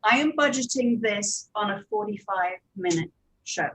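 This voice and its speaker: A woman speaking as a producer on a panel, explaining things step by step with hesitant pauses. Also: medium pitch, lively intonation, a bit bright, a little hiss or hum, poor audio. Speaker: audio drama producer and director, panelist.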